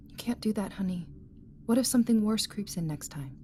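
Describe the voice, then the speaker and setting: Woman acting anxious young mom, medium pitch. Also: expressive, a little fast, warm tone, a little hiss or hum, lightly acted. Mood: incredulous, worried. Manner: pointed, questioning. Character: anxious, high-strung young mother, accusing wife. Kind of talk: naturalistic audio drama acting.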